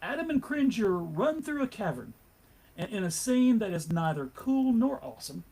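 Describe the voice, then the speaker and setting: High-pitched, melodramatic male narrator. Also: sing-song, normal speed, creaky, a little mellow, breathy edge, poor audio. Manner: melodramatic, tongue-in-cheek. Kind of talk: theatrical narration of comic book table read.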